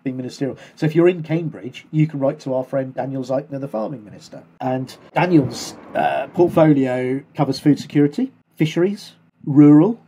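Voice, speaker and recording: Brief: male co-host, chatty explainer, soft husky tone, loose rambling delivery. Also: mid-range voice, normal speed, hardly any pauses. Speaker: podcast co-host. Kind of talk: casual chat.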